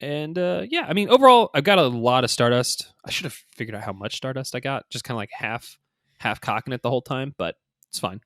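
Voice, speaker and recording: Guy cohosting a gaming podcast, medium pitch, chatty and casual, lots of likes, really dry sound. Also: lively intonation, a little fast, a bit bright, dry recording. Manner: conversational, full of 'like' and 'you know'. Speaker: podcast co-host.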